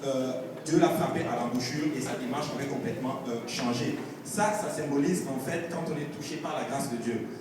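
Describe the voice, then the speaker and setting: A man's voice: mid-range voice, fairly quick, hardly any pauses. A man delivering an earnest church sermon, exhorting listeners with testimony, scripture readings and repeated refrains. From outside Canada. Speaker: preacher. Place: large reverberant church hall with congregation. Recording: noisy echoey hall, far from mic.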